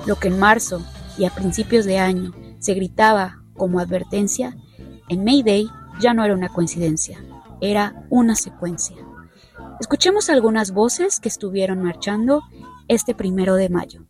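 A woman reading a scripted news report narration in measured, short phrases. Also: mid-range voice, soft background music.

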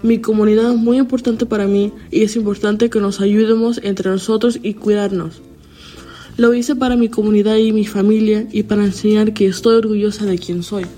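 A woman's voice: medium pitch, fairly even intonation, normal speed, dark tone, faint music behind. A teenage girl from Chicago, a student, earnestly reading her own personal statement. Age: teens. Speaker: student protester.

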